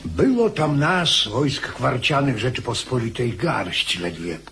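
A man playing a soldier in a radio drama, recalling campaign life in a measured storytelling way. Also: mid-range voice, very animated, fairly bright tone, husky, full character voice. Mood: reminiscent.

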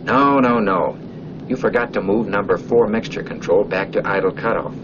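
A male actor as a stern flight instructor with a fairly low voice, needling and directing a trainee in a 1940s military training film. The old soundtrack is muffled, with clear noise behind the voice. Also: normal speed, close to an everyday voice. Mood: chiding. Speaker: off-screen narrator instructing a trainee.

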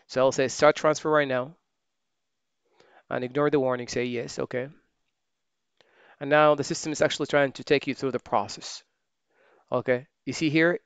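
A man with a smooth, resonant voice talks through a software task step by step, thinking aloud and stopping to fix his own slips. The recording is dry and a little dull, like a desk mic close in a quiet room. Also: medium pitch, no room sound. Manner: relaxed, thinking aloud while typing, many fillers and self-corrections. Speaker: software trainer. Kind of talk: screen-recorded software tutorial.

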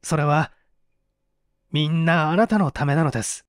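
A man voicing an earnest, composed young gentleman, formal and measured, in an audiobook drama. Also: fairly high pitch, clear, resonant tone, dry recording, studio quality, close to an everyday voice.

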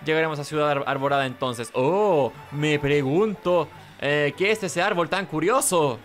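A man's voice: fairly high pitch, normal speed, soft background music. A man giving casual, rambling let's play commentary, talking to himself. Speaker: gaming host.

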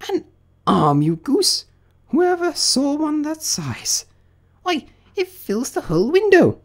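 A man's voice: very high voice, huge pitch swings, slow pace, voice pushed up, heavily acted. A man voicing a fussy, bad-tempered rabbit master in a children's storybook, scolding and giving imperious orders. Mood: indignant, scolding.

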